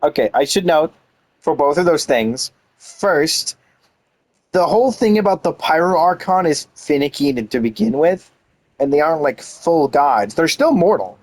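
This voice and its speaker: A man's voice: on the high side, lively intonation, normal speed. A man giving casual, off-the-cuff game commentary, explaining things informally and often interrupting himself. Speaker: game streamer.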